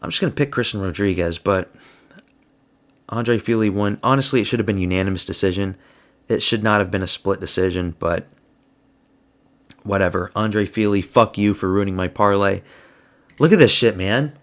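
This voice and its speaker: A man's voice: on the low side, normal speed, long pauses. Blunt, crude young-sounding man reviewing fights. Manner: blunt, crude and provocative, with frequent slang. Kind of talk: casual solo commentary.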